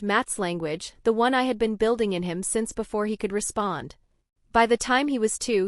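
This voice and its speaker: A young adult woman from Norway, narrating her own story in a measured, even scripted way. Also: medium pitch, no room sound, studio quality.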